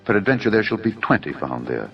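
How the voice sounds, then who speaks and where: Man as deep-voiced pleading patriarch. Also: sing-song, fast, gravelly. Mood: humble pleading. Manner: deferential, formal, entreating. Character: humble pleading male patriarch of ancient scripture. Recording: dull narrow audio over music.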